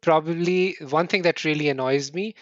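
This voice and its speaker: A middle-aged man from India, answering interview questions earnestly in quick bursts and often restarting with "right?". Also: a little high, no echo, muffled recording. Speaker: podcast guest.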